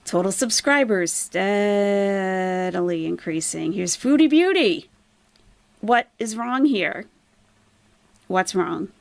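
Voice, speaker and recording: A woman's voice: mid-range voice, lively intonation, takes it slowly, vocal fry, long pauses, no echo. A woman hosting a casual live commentary stream, explaining things emphatically to viewers and asking rhetorical questions. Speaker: livestream host, YouTube commentator.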